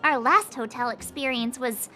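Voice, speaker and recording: A woman's voice: high voice, normal speed, pushed and bright, slight background noise. A woman voicing a bubbly, upbeat young girl companion in a game, chirpy and playfully teasing. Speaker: game character. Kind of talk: game voice acting.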